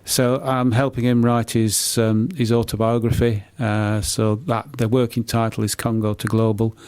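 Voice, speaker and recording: A middle-aged man answering in a radio interview, halting and often repeating words as he explains. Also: fairly low pitch, level tone, darkish tone, clear, resonant tone, no echo. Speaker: author and poet being interviewed.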